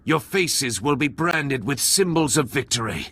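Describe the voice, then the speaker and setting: A cruel video game warlord rasps in a gravelly, forceful voice. He is grandiose and pompous, gloating like a theatrical villain. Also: medium pitch, expressive, unhurried, heavily acted. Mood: gloating, imperious. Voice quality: gravelly, raspy.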